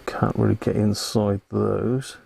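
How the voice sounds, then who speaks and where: A man talks in a fairly low, creaky voice, muttering casually through hands-on repair work. The delivery is unscripted live-stream chat, with steady background noise and small clicks. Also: slow. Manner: unscripted, muttering to himself while working. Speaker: hobbyist host of a live stream on radio repair. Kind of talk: casual live workbench commentary.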